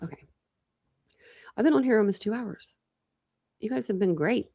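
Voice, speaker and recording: A woman's voice: mid-range voice, animated, normal speed, dark tone. A woman in her forties hosting a casual true crime livestream, thinking aloud and rambling, with a slight lisp from a dental retainer. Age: 40s. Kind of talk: casual livestream chat with viewers.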